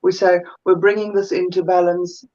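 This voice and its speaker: A woman with a South African accent, a guest energy healer chatting thoughtfully in an interview and often pausing to find her words. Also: on the low side, little pitch movement, warm tone, highs cut off. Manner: thoughtful, searches for words, many fillers. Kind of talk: casual interview chat.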